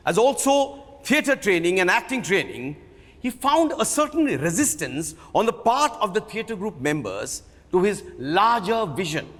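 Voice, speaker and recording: A man with an Indian accent giving a theatrical, measured dramatic reading of a biography in a hall. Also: sits high, a bit bright. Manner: theatrical, measured storytelling delivery. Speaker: theatre performer reading at a book event. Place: live reading in an event hall. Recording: reverberant hall.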